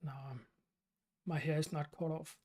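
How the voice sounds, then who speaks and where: A man from Denmark with a Danish accent hosts his channel's live stream Q&A. He talks in a halting way, with many fillers and restarts. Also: fairly high pitch, fairly even intonation, a little mellow, breathy edge. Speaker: channel host.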